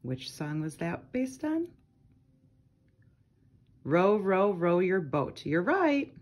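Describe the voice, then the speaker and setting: A woman's voice: fairly low pitch, big pitch swings, slow pace, a little mellow. A woman reading children's nursery rhymes aloud from a picture book, speaking to young listeners. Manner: addresses young listeners with questions and short comments.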